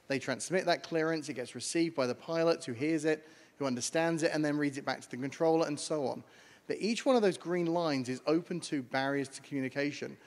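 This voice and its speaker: A man with a British accent giving a brisk conference talk from slides, explaining to an audience. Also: fairly high pitch. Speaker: panel speaker on air traffic communication. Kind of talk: conference presentation. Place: large conference hall. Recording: long hall reverb.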